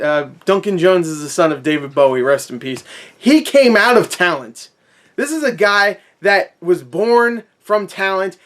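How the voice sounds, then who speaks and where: A man giving a casual, unscripted movie rant as an online host, conversational and opinionated, with emphatic repetition. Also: high-pitched. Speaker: YouTube movie reviewer and host.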